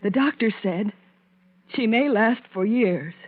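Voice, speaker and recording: A young woman playing a bitter, resolute wronged wife in a 1940s radio drama, deliberate and intense. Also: on the high side, expressive. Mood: grave resolve. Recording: tinny, narrow vintage radio recording.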